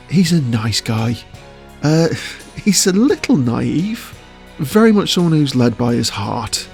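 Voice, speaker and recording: Gravel-edged man, thoughtful and chuckly. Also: medium pitch. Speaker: voice actor being interviewed. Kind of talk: casual interview answers.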